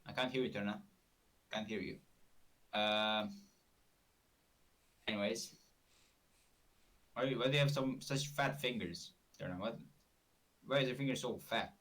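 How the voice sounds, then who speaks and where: A man's voice: fairly low pitch, unhurried, long pauses, a bit bright. A man from Romania, hosting a casual live stream in a rambling, self-correcting way, a bit far from the mic. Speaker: streamer host.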